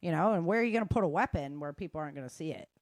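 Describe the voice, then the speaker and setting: A woman's voice: sits low, expressive, very fast, no room sound. A woman hosting a talk show, giving casual, emphatic advice straight to her listeners. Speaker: host of a self-defense and survival show.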